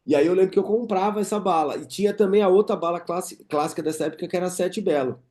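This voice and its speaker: A man's voice: high voice, fast, dark tone. A man in his thirties from Brazil hosting a podcast, chatting casually and reminiscing with his guest. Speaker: podcast host. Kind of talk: casual interview chat.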